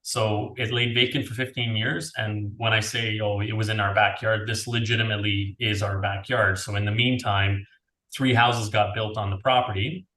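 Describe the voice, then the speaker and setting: A Canadian man with a lowish voice talks on a video call. He explains things casually and chattily, like a guest in an interview. The sound is echoey, with plenty of room around the voice. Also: level tone, moderate pace, bright, forceful tone. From Niagara region, Canada. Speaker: winery owner being interviewed. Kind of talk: conversational interview answers. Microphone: video call, earphones.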